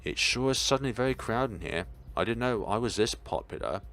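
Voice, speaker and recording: A man's voice: medium pitch, expressive, fairly quick, a bit bright. A man reading a visual novel aloud as a let's player, giving dramatic scripted narration with occasional stumbles and repeated words.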